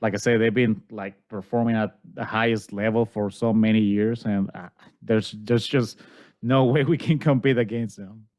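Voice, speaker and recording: A man's voice: lowish voice, fairly even intonation, normal speed, a little mellow. A man hosting a podcast, chatting in a friendly way, often laughing and repeating words. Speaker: podcast host. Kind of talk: casual chat.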